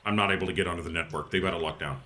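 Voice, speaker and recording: A man's voice: low-pitched, steady tone, very fast, pushed and bright. A man chatting casually as a player in a tabletop role-playing game.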